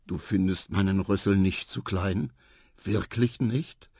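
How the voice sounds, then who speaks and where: A shy young storybook elephant, acted by a narrator, drowsy and gentle. The words come slowly and hesitantly in a husky murmur with some creak. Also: medium pitch, sing-song, no echo, studio quality, voice pushed up, heavily acted. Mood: sheepish, gentle.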